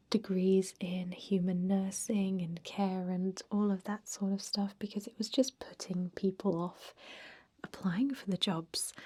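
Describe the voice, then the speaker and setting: A chatty, cynical female vampire, played by a woman in an ASMR roleplay. She speaks in a soft, breathy whisper with a mellow tone and an unhurried pace. Her manner is casual and gossipy, like a co-worker showing a newcomer the ropes. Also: medium pitch. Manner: casual, gossipy, hesitant filler-laden asides.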